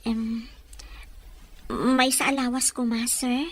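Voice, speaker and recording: A woman's voice: fairly high pitch, little pitch movement, slow pace. A woman playing a meek, apologetic young provincial office worker in an audio drama, with a Filipino accent. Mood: timid, polite. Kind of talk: Ilocano audio drama acting.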